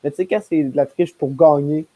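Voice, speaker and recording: A man's voice: a little high, a little fast, warm tone, dry recording, poor audio. A young man with a Quebec French accent, chatting casually on a group video call. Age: young adult. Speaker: podcast panelist. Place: room at home, video call.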